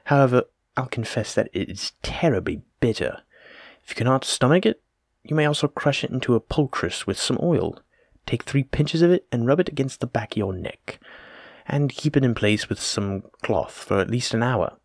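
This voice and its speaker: A man's voice: mid-range voice, expressive, moderate pace, dry recording, studio quality. A man playing a courteous, learned plague doctor in a roleplay audio drama, speaking formally and reassuringly as he attends to a patient. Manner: formal, polite, reassuring, wordy. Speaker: physician examining a patient. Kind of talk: soft-spoken roleplay audio drama, addressing the listener.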